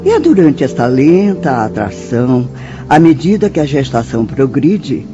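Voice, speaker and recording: An actress playing a gentle spirit nun who instructs apprentices in a measured, formal way, in a radio drama. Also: female voice, very deep voice, very animated. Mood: solemn instruction. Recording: dull, lo-fi radio drama audio. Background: soft music.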